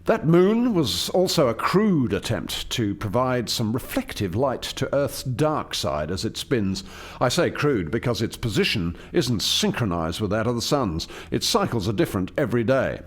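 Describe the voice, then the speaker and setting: Radio play lead: male actor as proud alien project scientist, formal and articulate, very lively pitch movement, mid-range voice. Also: normal speed. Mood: explanatory. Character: male alien chief scientist, eager, proud, explaining his experiment. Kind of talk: radio play dialogue, expository.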